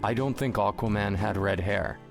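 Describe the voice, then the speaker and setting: Creaky-voiced, dry young student. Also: male voice, on the low side, moderate pace, over music. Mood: dry, puzzled. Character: ordinary, dry, slightly awkward young male student. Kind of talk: visual novel game voice acting.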